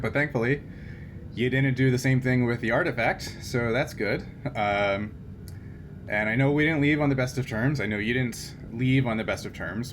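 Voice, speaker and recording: A man voicing a calm, persuasive male agent in a tabletop game. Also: mid-range voice, noisy background, natural voice. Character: mysterious male agent Wolfgang, smooth persuader.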